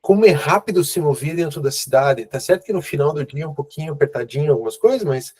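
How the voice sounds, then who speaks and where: Husky-voiced Brazilian man in casual conversation. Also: fairly high pitch, expressive, fast talker, a little mellow. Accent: Brazilian Portuguese. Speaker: host, immigration consultant.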